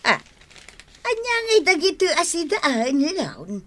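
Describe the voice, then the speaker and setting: Slow, approving Ilocano old mother. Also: very high-pitched, huge pitch swings, pushed and bright, slight background noise, heavily acted. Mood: grateful surprise. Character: grateful, approving elderly mother. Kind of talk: Filipino radio drama acting.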